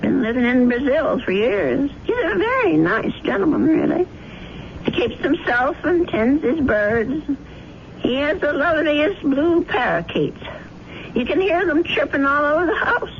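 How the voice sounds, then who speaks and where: Fretful elderly landlady, animated, noisy old radio. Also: high voice, measured pace, creaky, dull audio, heavily acted. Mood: chatty, gentle. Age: older. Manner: fussy, chatty, motherly. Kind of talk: 1970s radio drama acting.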